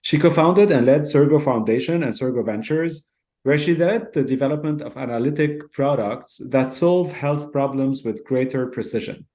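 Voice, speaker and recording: A man's voice: medium pitch, mellow. A man with a mid-range voice hosts a podcast. He reads his guest's introduction from a formal, prepared script. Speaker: podcast host.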